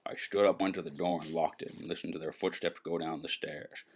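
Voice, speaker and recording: A man's voice: low-pitched, steady tone, fairly quick, heavy background noise, close to an everyday voice. An actor playing a world-weary, wisecracking male private eye in hardboiled noir narration and dialogue, sardonic and brooding. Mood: brooding, dry.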